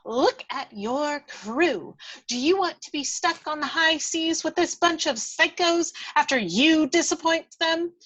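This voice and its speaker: Woman playing a feisty, high-pitched little fairy. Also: animated, measured pace, fairly bright tone, rough recording. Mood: urgent persuasion. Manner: emphatic, goading, theatrical. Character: feisty, bold little female fairy, scolding and persuasive. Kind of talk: dramatic script read-through.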